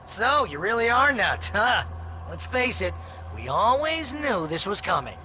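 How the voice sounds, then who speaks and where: An actor playing an excitable, sarcastic imaginary best buddy in a video game, needling and flippant. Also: male voice, high-pitched, a little slow, faint music behind, exaggerated voice. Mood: excited teasing. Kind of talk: animated video game dialogue.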